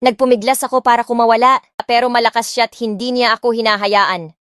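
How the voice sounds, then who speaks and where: Expressive woman narrating a romance audiobook. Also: a little high, fairly quick, clean tone, dry recording, clean studio sound. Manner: expressive, mixing two languages.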